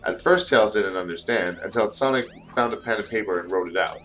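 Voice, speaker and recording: A man's voice: fairly low pitch, fairly quick, a bit bright, faint music behind. A tired man co-hosting and reading fan fiction aloud in a casual way, stumbling over words and adding joking asides. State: tired, short of sleep.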